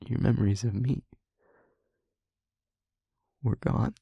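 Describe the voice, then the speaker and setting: A man playing a guilt-ridden demon lover in an audio roleplay, confessing tenderly and in anguish in a halting, breathy, husky hush. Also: medium pitch, fairly even intonation, no echo, muffled recording, exaggerated voice. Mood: anguished, confessional. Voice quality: breathy, husky, often whispering. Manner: halting, hushed, dramatic pauses. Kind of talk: intimate audio roleplay monologue.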